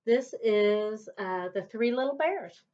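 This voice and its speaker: A woman reading a children's story aloud as a teacher would, in a deliberate storytelling manner with emphatic contrasts. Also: a little high, animated, darkish tone. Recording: dull, lo-fi recording.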